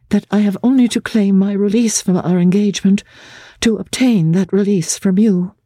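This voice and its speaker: A woman voicing a gentle, composed young lady in a classic literary drama, speaking formally and earnestly in a soft, breathy, sometimes hesitant voice. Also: medium pitch, dry recording, clean studio sound, pitched above the performer's own voice, lightly acted. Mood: composed, grateful. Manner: formal, measured, hesitant in places.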